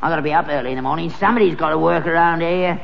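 A high, gravelly old London father, forever nagging and scornful. The voice swoops querulously in a muffled, echoing old sitcom recording. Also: very fast. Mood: indignant. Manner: needling, querulous. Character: grumbling, scornful old rag-and-bone man, nagging father. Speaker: actor in a sitcom. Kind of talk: British sitcom acting. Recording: muffled, hissy, reverberant archive comedy recording.

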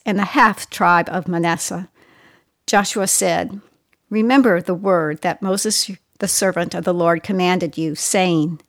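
A woman reading scripture aloud in a solemn, measured, deliberate way. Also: lowish voice, dry recording, clean studio sound. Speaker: scripture reader.

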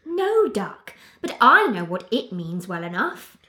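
A woman's voice: mid-range voice, very animated, a little slow, sharp tone. A woman voicing a polite, curious young girl who muses in a prim, well-mannered way. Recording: echoey reverberant room.

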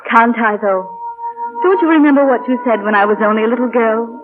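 A woman's voice: a little high, fairly quick, over music, lightly acted. An actress plays a proud, tender young woman who is hopelessly in love. Her delivery is wistful and theatrical, in the manner of 1940s radio acting. The sound is that of a thin, narrow old broadcast. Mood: moved, brave. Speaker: radio actor. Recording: thin, band-limited 1940 radio broadcast.

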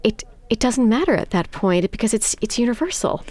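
A woman guest in a radio interview, speaking in a relaxed, conversational way. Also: a little high, brisk, no echo. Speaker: musician guest.

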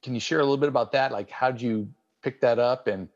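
A man's voice: mid-range voice, fast, lo-fi sound. A man co-hosting a podcast interview, asking questions in an enthusiastic, chatty way. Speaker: podcast co-host. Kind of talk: casual interview.

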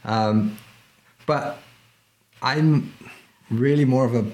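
Middle-aged male podcast guest, fencing instructor; chatty, emphatic, mid-pitched, keeps tagging "right?". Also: drawn-out words, a little mellow, lo-fi sound. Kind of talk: casual interview chat.

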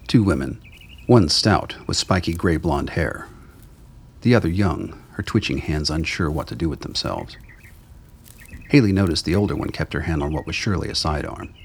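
A man with a gravelly, husky voice narrating a scripted radio drama. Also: very deep voice.

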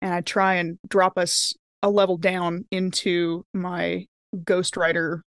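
A woman's voice: on the low side, little pitch movement, slow, clear, resonant tone, no room sound. Woman playing a tabletop game, dry sound. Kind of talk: casual, playful game chat.

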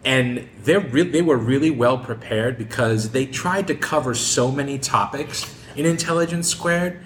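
A man's voice: mid-range voice, normal speed. Guy hosting a laid-back group chat, informal, roomy echo and a bit of noise. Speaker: host of a podcast discussion group. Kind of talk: casual group discussion moderating.

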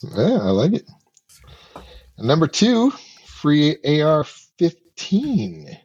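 A man's voice: fairly high pitch, wildly swooping pitch, takes it slowly, a little mellow, slightly rough, no echo, lo-fi sound. A man co-hosting a casual news chat, cracking dry jokes. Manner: dry wisecracks. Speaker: co-host.

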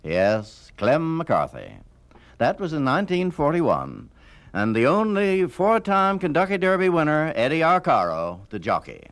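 A man's voice: on the high side, huge pitch swings, unhurried, fairly bright tone. A man hosting and narrating a scripted radio documentary about the past.

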